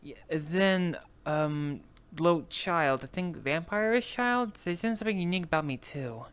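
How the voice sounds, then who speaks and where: A man's voice: a little high, expressive, normal speed, fairly bright tone, clean tone. A man talking hesitantly and pondering as he improvises in character in an online roleplay. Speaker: player in a virtual roleplay. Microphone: VR voice chat microphone. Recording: noisy online voice chat.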